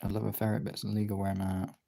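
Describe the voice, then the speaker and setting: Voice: low-pitched, flat delivery, rapid-fire, gravelly, dark tone. A streamer chatting casually while drawing, absent-mindedly thinking aloud in half-muttered remarks.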